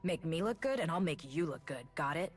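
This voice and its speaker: A woman voicing a dark-humored, cocky female rebel warrior for a video game, with a deadpan, sarcastic manner. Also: deep voice, a little fast. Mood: dry, teasing. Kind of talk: game voice lines.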